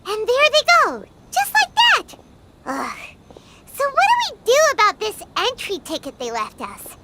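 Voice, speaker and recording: A woman voicing a tiny, excitable childlike fairy companion in a video game, squeaky and given to indignant exclamations. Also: measured pace, very noisy, full character voice. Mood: curious chatter. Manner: exclamatory, speaks of self in third person. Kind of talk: animated video game voice acting. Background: loud music.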